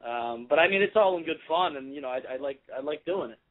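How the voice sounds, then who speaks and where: A male singer with a raspy voice, a guest in a casual interview, easygoing and laughing at himself. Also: on the high side, lively intonation, fast. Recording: tinny phone-line sound.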